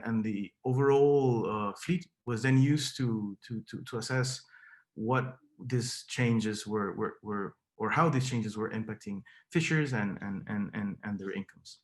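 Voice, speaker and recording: A man's voice: lowish voice, unhurried. A young man from Peru, a Spanish speaker with a Peruvian accent, giving an academic seminar talk with many fillers and repeated words. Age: young adult. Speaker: PhD candidate giving a seminar.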